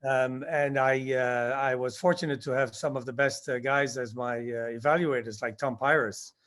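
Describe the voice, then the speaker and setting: A man in his sixties, born in Indonesia, answering interview questions about his past and recalling it haltingly with many fillers. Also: medium pitch, fairly even intonation, fairly bright tone. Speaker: guest, skydiving instructor.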